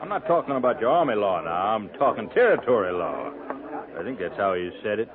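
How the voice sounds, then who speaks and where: Brash, needling buffalo hunter, tinny radio. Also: male voice, on the low side, very animated, brisk, crowd noise. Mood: mocking. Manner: folksy western phrasing, needling, mocking. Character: brash, sardonic, cocksure frontier buffalo hunter. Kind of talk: 1950s radio western acting. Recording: tinny, band-limited 1950s radio recording.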